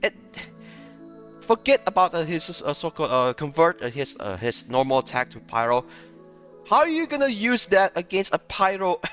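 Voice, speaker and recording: A man giving casual live gaming commentary in a halting, repetitive way, often breaking into chuckles. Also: a little high. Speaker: game streamer. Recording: loud music under the voice.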